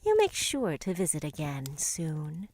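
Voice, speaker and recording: A woman's voice: deep voice, wildly swooping pitch, a little slow, over music, exaggerated voice. Lady acting a motherly woods woman, teasing and affectionate, voice swoops around a lot, visual novel game. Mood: wry, fond.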